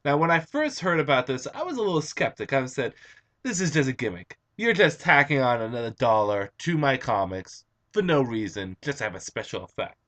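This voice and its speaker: A young man with a raspy, hoarse voice, chatting casually and eagerly as a comic book reviewer, recorded on a computer webcam. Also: mid-range voice, very animated, fairly quick, fairly bright tone. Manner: enthusiastic, chatty, with frequent um and uh. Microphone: computer webcam mic.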